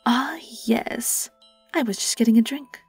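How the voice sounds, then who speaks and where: Breathy British vampire woman, acted, slow and taunting. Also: on the high side, a little mellow, dry recording, soft background music, full character voice. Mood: mocking. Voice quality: breathy, often whispered. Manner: taunting, theatrical, lingering on words. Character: vengeful young female vampire, sly, gloating and predatory. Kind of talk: dramatic audio roleplay monologue.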